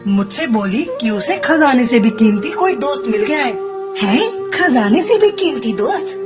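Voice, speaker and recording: A woman voicing a jealous, spiteful male bird in a children's cartoon story, with a snide, scheming manner. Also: high-pitched. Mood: suspicious, curious. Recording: music bed under the voice.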